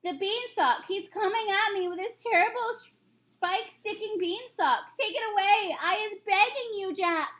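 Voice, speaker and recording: A woman's voice: very high voice, moderate pace, fairly bright tone, pitched above the performer's own voice. A woman reading a storybook, voicing a huge man-eating giant who bellows and pleads in terrified panic with broken grammar. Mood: desperate pleading. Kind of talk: storybook character voice in a read-aloud.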